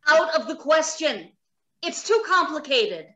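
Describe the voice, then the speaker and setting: An actress playing a grim, alarmed scientist in old-time radio drama style. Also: female voice, high-pitched, sing-song, measured pace, bright, forceful tone, full character voice.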